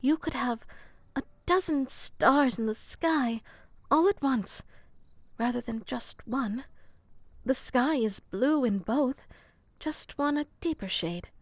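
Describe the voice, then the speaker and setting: Woman doing a soft sleepy moth girl, kinda ditzy, talks really slow, dry close mic. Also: high-pitched, no echo. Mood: soft, musing. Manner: soft-spoken, slow and musing, slipping into whispers. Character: gentle, slightly scatterbrained female moth creature soothing a human to sleep. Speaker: ASMR performer. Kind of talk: ASMR sleep roleplay.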